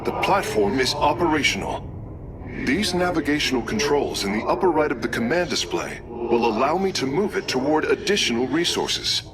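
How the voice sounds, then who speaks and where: A man's voice: medium pitch, sing-song, moderate pace, background noise. A man voicing an earnest, dutiful alien engineer in a video game, with formal, precise phrasing. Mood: focused, pondering. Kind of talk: dramatic video game voice acting.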